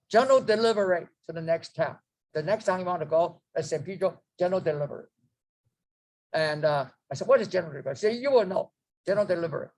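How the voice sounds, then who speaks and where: A man, telling his life story to a group, rambling and halting with many fillers and restarts. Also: high voice, gravelly. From China. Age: 90s. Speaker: guest speaker. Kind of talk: storytelling memoir.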